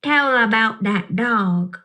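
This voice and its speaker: Tiny insistent girl, higher voice, storybook reading, played by a woman. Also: animated, takes it slowly, pushed and bright, full character voice.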